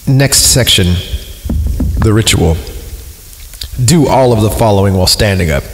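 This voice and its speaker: A man's voice: lowish voice, lively intonation, measured pace, slight background noise. A man hosting a horror podcast, reading stories aloud in an informal, self-amused way with casual asides. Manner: informal, self-amused, breaks off to comment and chuckle. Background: music under the voice.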